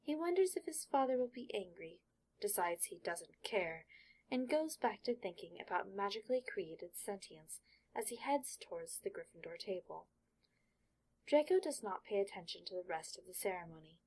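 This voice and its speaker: A woman narrating a fan fiction audiobook in an expressive storytelling manner. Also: mid-range voice, normal speed, rough recording.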